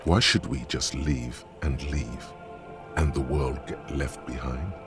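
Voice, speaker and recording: An actor playing a brooding, cryptic inner voice in a detective video game, solemn, deliberate and terse. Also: male voice, a bass voice, over loud music.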